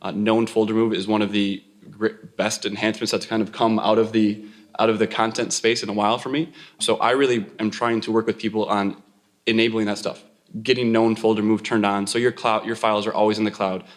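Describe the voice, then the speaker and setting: A man from Wisconsin with an American accent and a fairly low voice. He talks conversationally as an IT consultant on a panel. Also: level tone, brisk.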